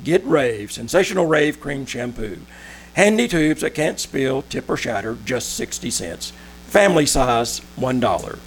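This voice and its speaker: A man playing a persuasive pitchman in a 1940s radio commercial, with emphatic, measured sales patter. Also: sing-song, slight background noise. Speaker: commercial announcer.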